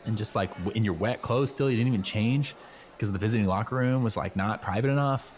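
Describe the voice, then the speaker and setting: A man's voice: lowish voice, fast, lightly acted. A man playing a laid-back, chummy teenage schoolboy in an improvised radio play, rambling in casual slang. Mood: easygoing, philosophical.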